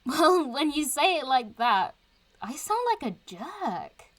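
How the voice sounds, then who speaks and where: A cheeky young woman in an audio drama, with a highish, very lively voice that swings up and down. She is informal, irreverent and teasing, chatting as if to her followers. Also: slow, exaggerated voice. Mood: defensive, frustrated. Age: young adult. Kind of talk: audio drama acting, vlog-like casual chat.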